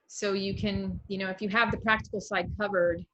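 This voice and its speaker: A woman's voice: mid-range voice, little pitch movement, brisk, a bit bright, highs cut off. An American woman hosting a casual online interview over a video call, enthusiastic and encouraging with her guest. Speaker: interview host.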